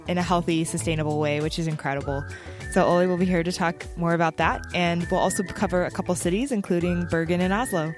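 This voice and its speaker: A woman's voice: lowish voice, darkish tone. A young adult woman hosting a travel podcast, chatting casually and asking her guest questions. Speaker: podcast host.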